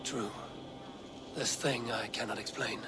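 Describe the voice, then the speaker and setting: Actor as sober Viking warrior. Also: male voice, mid-range voice, fairly even intonation, noisy background, close to an everyday voice. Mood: sober, skeptical. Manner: measured, sober, archaic phrasing. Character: level-headed, battle-worn Viking warrior investigator. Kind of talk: dramatic video game acting.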